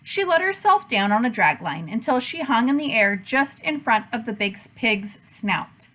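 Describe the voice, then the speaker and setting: A woman reads a children's storybook aloud. Her voice sits a little high, and she narrates as a teacher does for young listeners. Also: big pitch swings, normal speed, a bit bright, low-quality recording.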